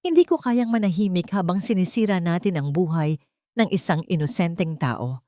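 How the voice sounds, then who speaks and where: A woman's voice: on the low side, lively intonation, moderate pace, mellow, no echo, studio quality, close to an everyday voice. A woman voicing an earnest, conscience-stricken daughter in an audio drama. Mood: troubled pleading. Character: conscience-stricken daughter confronting her mother.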